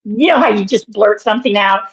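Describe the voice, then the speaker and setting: Let a raspy older woman ramble, throat catching. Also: medium pitch, lo-fi sound. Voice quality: naturally raspy voice. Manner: meandering, halting, with many fillers and restarts. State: throat irritated by allergies, frequent throat-clearing. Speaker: guest, minister and author. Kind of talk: reflective interview storytelling.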